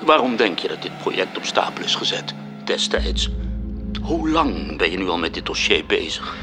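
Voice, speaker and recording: A deep, pompous heavenly cherub. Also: male voice, very animated, moderate pace. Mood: impatient, haughty. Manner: pompous, theatrical. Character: lofty, impatient male cherub, heavenly superior. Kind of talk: radio drama acting. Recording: music behind the voice. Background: music.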